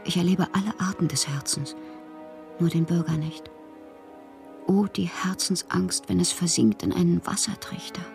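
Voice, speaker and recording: An actress playing a passionate, eccentric bohemian poetess, reading fanciful letters in a theatrical radio drama monologue with a breathy voice. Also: female voice, fairly low pitch, normal speed, over music. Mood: anxious, haunted. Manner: theatrical, dreamy, often slipping into breathy whispers. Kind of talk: dramatic literary monologue in a German radio play.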